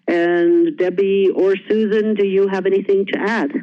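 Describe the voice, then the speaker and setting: A woman's voice: medium pitch, steady tone, normal speed. A woman giving an earnest, encouraging webinar presentation for an elder-care group. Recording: muffled, dry conference audio.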